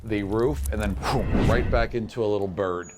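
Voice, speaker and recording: A man's voice: lowish voice, normal speed, very noisy. Man narrating a tabletop game. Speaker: game master.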